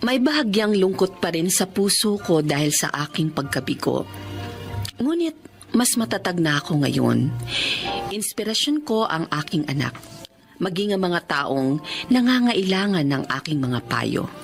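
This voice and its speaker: A woman's voice: lowish voice, very animated, unhurried. An actress playing a heartbroken, devout woman psychiatrist and mother in a Filipino radio drama, with emotive delivery. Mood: reflective. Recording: drama music underneath.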